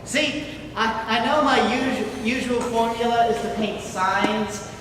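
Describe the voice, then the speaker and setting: Eccentric artist acted on stage, mid-pitched, formal quirky manner, long hall reverb, amateur play. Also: unhurried, lightly acted. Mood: earnest. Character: eccentric, high-minded young painter, cheeky cousin. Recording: echoey hall, distant mic, noisy.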